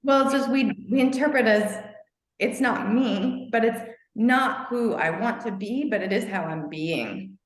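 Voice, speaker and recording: A woman's voice: on the high side, animated, measured pace. A woman taking part in a group session, speaking reflectively with many "like" fillers. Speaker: session participant. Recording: echoey room.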